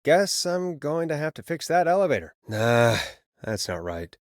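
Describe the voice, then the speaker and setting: A man's voice: a little high, very animated, moderate pace, no room sound, studio quality. An actor playing a sardonic, easily exasperated male late-night radio host in a video game, with a wry, conversational delivery. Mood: sarcastic, exasperated.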